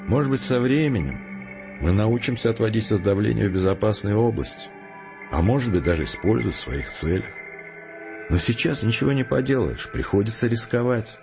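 A resolute, philosophical scientist with a low voice, reasoning patiently and deliberately. The audio drama recording is slightly muffled, with prominent music behind him. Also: male voice, lively intonation, creaky. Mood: calm, persuasive. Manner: deliberate, explanatory, earnest.

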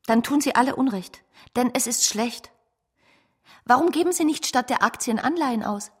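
Radio drama role: candid, principled woman, higher register, slightly husky, earnest and measured. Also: lively intonation. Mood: earnest, doubting. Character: principled, candid, thoughtful woman questioning a financier. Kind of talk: German radio drama acting.

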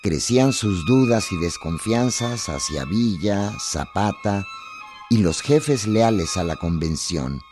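A male narrator tells history for radio in a low, unhurried voice. Music plays clearly behind him. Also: expressive.

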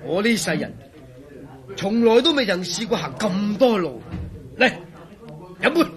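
A man's voice: sits high, big pitch swings, unhurried. An actor playing a jovial, boastful hard-drinking engineer in a Cantonese radio drama, often laughing as he talks. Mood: boastful, merry. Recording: crowd chatter behind voice.

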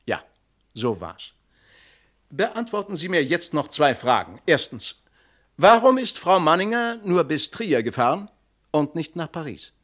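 An actor playing a composed, methodical police inspector, clipped and authoritative, in a 1960s German radio crime drama. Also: male voice, a little high, very animated, a little slow, a bit bright, full character voice. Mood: controlled, accusing. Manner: matter-of-fact, clipped, authoritative.

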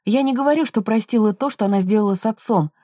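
An earnest wife and mother, acted by a woman narrator, reasons in a conciliatory, hesitant way. The voice is mellow and soft, recorded dry for an audiobook. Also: mid-range voice, fast talker, no room sound, lightly acted. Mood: gently persuasive. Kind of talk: audiobook character dialogue.

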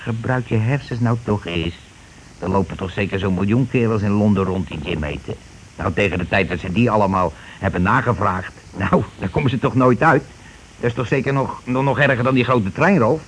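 An actor playing a scheming, money-grabbing husband and landlord in a radio play, talking in wheedling, self-justifying colloquial patter. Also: male voice, fairly low pitch, a little fast, close to an everyday voice. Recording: noisy old radio drama recording.

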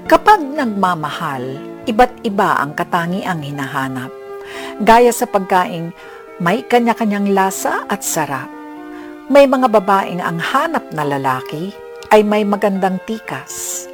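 A woman's voice: mid-range voice, very animated, unhurried. A woman narrating a radio drama in a scripted, dramatic storytelling style. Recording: music under the narration.

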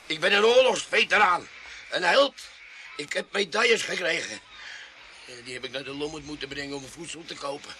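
An actor playing a shifty, boastful tramp and self-styled war veteran in a radio play, stammering and pleading in a self-pitying way. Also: male voice, fairly high pitch, moderate pace, sharp tone. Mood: indignant, boastful. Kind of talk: radio drama acting. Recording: faintly noisy radio play recording.

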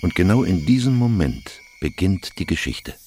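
A man acting as a grave storyteller in a surreal radio play, narrating slowly with a gravelly voice. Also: very animated, warm tone, a little hiss or hum. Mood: solemn, suspenseful. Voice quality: very deep, gravelly. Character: grave male storyteller and questioner in a surreal myth retelling. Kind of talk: scripted narration, slow storytelling.

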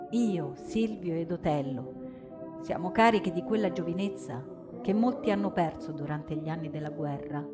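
Low-voiced woman in solemn theatrical narration. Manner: deliberate, solemn, with pauses. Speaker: stage actress narrating a partisan story. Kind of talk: dramatic first-person theatrical narration. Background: acoustic guitar music.

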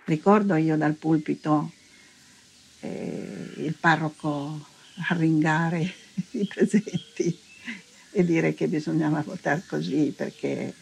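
Older woman, low creaky voice, recalling. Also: darkish tone, a little hiss or hum, poor audio. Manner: hesitant, colloquial, repeating herself. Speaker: interviewee recalling her past. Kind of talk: informal spoken testimony.

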